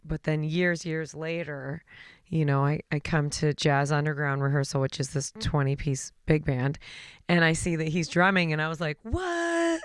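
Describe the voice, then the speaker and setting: Woman from washington state, smooth voice, unhurried, chatty, dry room. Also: sits low, no echo. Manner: chatty, laughs easily. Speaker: singer, interview guest. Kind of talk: casual interview chat.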